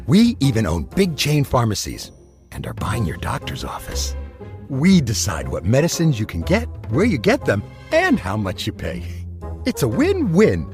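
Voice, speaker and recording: A man's voice: medium pitch, huge pitch swings, moderate pace, darkish tone, faint music behind. An actor playing a smug, greedy male pharmacy middleman in a satirical advert monologue. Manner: smug, self-satisfied.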